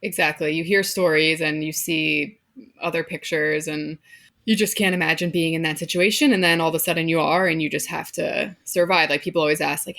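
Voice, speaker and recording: Guest: woman in her thirties from Hong Kong, low voice with a gravelly edge, candid and matter-of-fact. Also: fairly bright tone. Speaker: podcast guest, mother of twins. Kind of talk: casual interview chat.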